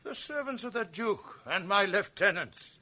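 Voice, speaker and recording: An actor playing a proud noble general in a radio drama, speaking verse in a measured, commanding way. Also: male voice, sits high, animated, pushed and bright, exaggerated voice. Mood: composed. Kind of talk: 1980s radio drama acting.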